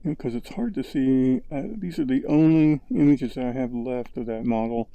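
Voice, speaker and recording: A man narrating his VR sculpting session in a relaxed, rambling way, thinking aloud with lots of fillers, recorded on a headset's built-in mic. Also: medium pitch, normal speed, no echo, muffled recording. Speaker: VR sculpting creator. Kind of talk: casual tutorial narration.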